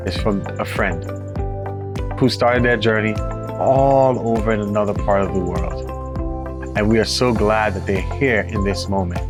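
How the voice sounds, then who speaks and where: A male pastor with a low voice hosts a church interview series. He speaks in a welcoming way and asks his guest questions between short affirmations. Music plays clearly beneath his voice.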